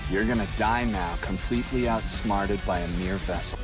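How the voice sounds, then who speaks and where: A man's voice: on the low side, normal speed, lightly acted. Blunt, intense teenage anime boy, acted by a man. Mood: defiant. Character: bitter, defiant, fiercely loyal teenage boy fighter. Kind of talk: anime dub acting. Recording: music behind the voice.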